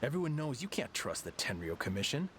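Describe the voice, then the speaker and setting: An actor playing a cautious, conspiracy-minded soldier in a video game, guarded and certain. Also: male voice, mid-range voice, sing-song, fairly quick, noisy background, lightly acted. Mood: certain, conspiratorial.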